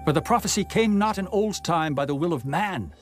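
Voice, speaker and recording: A man narrates scripture as a dramatized reading. His voice sits somewhat higher than average for a man, and he phrases each clause solemnly, with measured emphasis. Music plays faintly behind him. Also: expressive. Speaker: Bible narrator. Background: background music.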